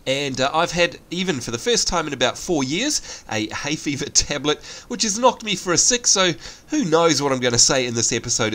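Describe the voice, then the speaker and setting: An Australian man with a congested, sniffly voice from hay fever, giving chatty gameplay commentary and viewer shout-outs. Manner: chatty, upbeat, lively pitch swings. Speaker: gaming channel host.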